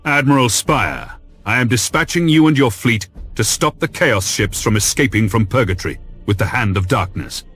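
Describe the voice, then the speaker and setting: An actor playing a senior space navy commander in a video game, stern, formal and authoritative. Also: male voice, medium pitch, animated, moderate pace, a bit bright, faint music behind, lightly acted. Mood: stern, resolute. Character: authoritative senior male Lord Admiral, stern and resolute. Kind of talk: dramatic video game voice acting.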